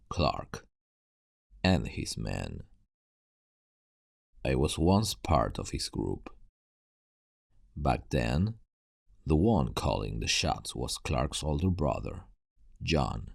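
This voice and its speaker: A man acts out a world-weary survivor of a zombie apocalypse for an ASMR roleplay, guarded and terse. The voice is extremely deep and thick with gravel. It moves slowly but swings expressively, recorded dry and close. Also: no echo, exaggerated voice. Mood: reluctant, guarded. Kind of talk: ASMR roleplay acting, addressing the listener.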